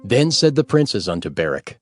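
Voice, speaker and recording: Low-voiced male scripture narrator over music. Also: very animated, normal speed. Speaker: audiobook narrator. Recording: prominent music bed under narration.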